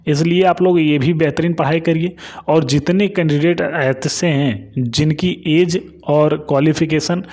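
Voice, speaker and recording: A man speaks in a somewhat high-pitched voice. He gives informal exam updates as an online study adviser. His delivery is repetitive and emphatic, urging listeners on and dropping in frequent reassuring tags. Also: a little mellow.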